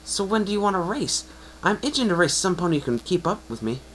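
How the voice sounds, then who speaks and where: A man's voice: high voice, sing-song, fast, a bit bright, slight background noise, pitched above the performer's own voice, low-quality recording. A man voicing a brash, eager young female pegasus pony racer in a fan story reading, bold and challenging.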